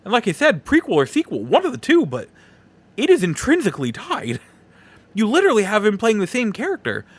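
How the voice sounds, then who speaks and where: A man's voice: high-pitched, wildly swooping pitch, a little fast. A man hosting a podcast, talking casually and informally through a solo movie review with rambling asides. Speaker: podcast host.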